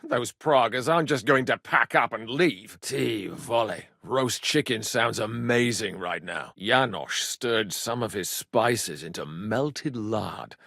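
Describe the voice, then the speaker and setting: An actor playing a cheeky, good-humored medieval rogue and loyal younger brother in a video game, with colloquial, irreverent banter. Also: male voice, fairly low pitch, lively intonation, slow pace, creaky, few pauses, sharp tone, dry recording, studio quality, heavily acted. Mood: easygoing banter. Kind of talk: video game dialogue acting.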